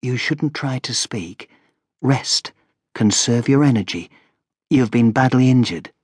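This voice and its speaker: A man's voice: mid-range voice, lively intonation, measured pace, a little mellow, slightly rough, no echo, clean studio sound. A man voicing a kindly, gracious noblewoman in an audiobook, with a soothing and polite manner. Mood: soothing, concerned. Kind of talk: audiobook character voice.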